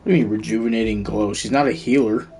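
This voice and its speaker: A man's voice: fairly low pitch, quick pace, a little mellow, faint music behind. A man streaming a video game, giving casual commentary and muttering to himself as he thinks aloud. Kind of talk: casual live gameplay commentary.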